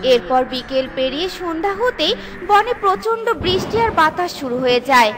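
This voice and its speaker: A woman's voice: very high-pitched, expressive, moderate pace, background noise. A woman reading scripted storybook narration for a children's cartoon.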